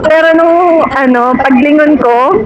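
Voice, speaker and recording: A woman's voice: high-pitched, unhurried, highs cut off, loud music behind the voice. A young Filipino woman chatting effusively as a motorcycle taxi passenger, telling a personal story. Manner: effusive, excited. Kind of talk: casual chat, personal storytelling. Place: outdoors on a moving motorcycle. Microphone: helmet intercom. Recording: band-limited intercom, loud road and vehicle noise.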